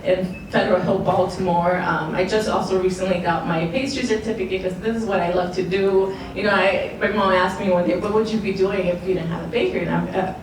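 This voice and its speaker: A woman's voice: on the low side, fairly even intonation, fairly quick, few pauses. Woman, young adult, baker, casual and filler-heavy, noisy background. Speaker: baker, panelist. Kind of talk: casual panel talk. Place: museum event space. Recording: very echoey room from far off, noisy.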